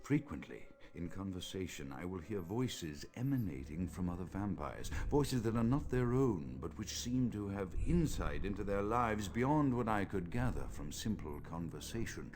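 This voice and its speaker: An actor playing a paranoid, scholarly male vampire doctor in a video game, dictating a journal in formal, theatrical, measured diction. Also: low voice, big pitch swings, a little mellow. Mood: troubled, grandiose. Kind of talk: dramatic recorded monologue in a video game.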